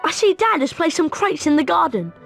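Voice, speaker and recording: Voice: very high voice, very animated, fairly quick, gravelly, loud music behind the voice, exaggerated voice. An actor playing a friendly, eager young British boy wizard who guides a friend in a video game. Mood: friendly, instructive.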